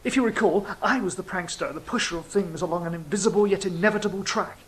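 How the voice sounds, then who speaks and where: A woman's voice: lowish voice, fast talker, lightly acted, poor audio. A woman playing a proud, sardonic trickster angel in an audio drama, dry and evasive. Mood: world-weary. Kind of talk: stage-style audio drama acting.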